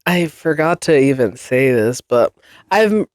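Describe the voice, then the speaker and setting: Slow, nasal-sounding podcast host, stuffed up. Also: male voice, medium pitch, lively intonation, no room sound, clean studio sound. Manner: rambling, chatty, frequent self-amused laughs. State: congested from a cold, slightly nasal. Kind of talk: casual solo podcast chat. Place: basement room.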